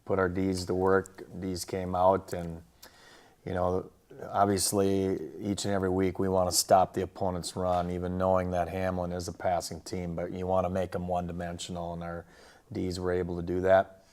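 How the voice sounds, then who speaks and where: A man who coaches football, casually recapping a game and its highlights in colloquial coaching slang. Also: monotone, normal speed, a little mellow.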